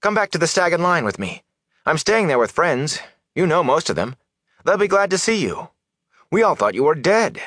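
A man acting an earnest, lively young villager. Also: on the high side, normal speed, dry recording, clean studio sound, pitched above the performer's own voice. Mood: reassuring, friendly. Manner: hesitant, eager, reassuring.

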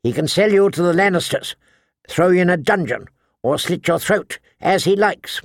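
Man doing a wary, high-pitched lord bannerman. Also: animated, moderate pace, no room sound, clean studio sound. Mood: grim warning. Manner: urgent, cautioning. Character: wary male lord bannerman warning his young leader.

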